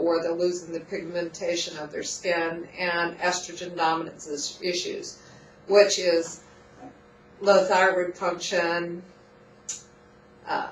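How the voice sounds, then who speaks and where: A woman giving an explanatory talk in a halting, measured way, recorded at a distance in a roomy space. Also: fairly low pitch. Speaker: speaker on health topics. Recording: roomy, distant mic, faint background noise.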